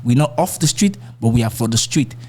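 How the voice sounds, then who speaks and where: Halting young Nigerian man in an interview. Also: medium pitch, lively intonation, mellow. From born in Nigeria, raised in Dallas. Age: young adult. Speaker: musician being interviewed. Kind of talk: casual podcast interview talk.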